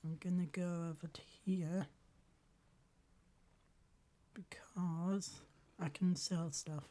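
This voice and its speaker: Hoarse woman w a cold streaming a game, super slow halting mutters, lowish mellow voice. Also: fairly even intonation. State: hoarse from a cold, clearing throat often. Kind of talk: casual live game commentary.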